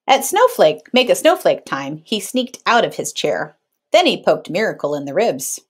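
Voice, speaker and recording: Woman reading aloud, very animated pitch. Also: normal speed, breathy edge. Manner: teacherly, checks in with listeners. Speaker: librarian reading aloud to children. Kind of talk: expressive picture-book read-aloud.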